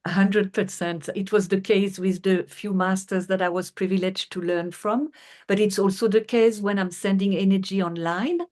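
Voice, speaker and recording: A middle-aged woman from France, speaking English with a French accent as an interview guest, earnest and unhurried with frequent fillers. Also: medium pitch, fairly even intonation, darkish tone. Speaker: guest, healer. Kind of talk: conversational interview.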